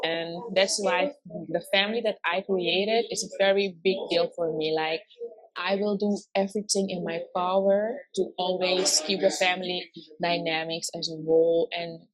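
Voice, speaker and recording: A woman's voice: on the low side, poor audio. A woman in her twenties from Suriname with a Surinamese Dutch accent, chatting openly as a vlogger and filling her talk with "like". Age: 20s. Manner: chatty, open, often says "like". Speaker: vlogger co-host. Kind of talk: casual chat.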